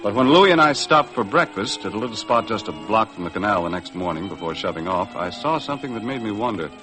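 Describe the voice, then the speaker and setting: A man's voice: on the low side, expressive, fast, natural voice. An American man playing a laconic, worldly boat skipper who narrates dryly in 1950s radio drama style. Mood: reflective narration. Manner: dry, wry, understated. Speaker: narrator and lead actor. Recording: vintage 1950s radio recording with music.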